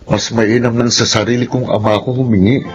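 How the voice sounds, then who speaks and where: A man's voice: medium pitch, flat delivery, a little fast, dull audio, loud music behind the voice, natural voice. A man playing a playful, affectionate college-age son, boyish and wheedling, with a Filipino accent, in radio drama style.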